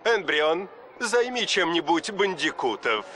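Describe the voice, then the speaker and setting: An actor playing a scheming, arrogant mad scientist villain in a game dub, with a gloating, bossy delivery. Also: male voice, on the high side, big pitch swings, unhurried, sharp tone, slight background noise, exaggerated voice.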